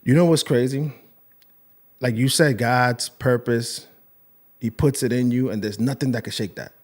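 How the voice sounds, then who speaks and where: A man's voice: mid-range voice, normal speed. Earnest, emotional male podcast host. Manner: earnest, emotional, rambling with restarts. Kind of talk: casual interview chat.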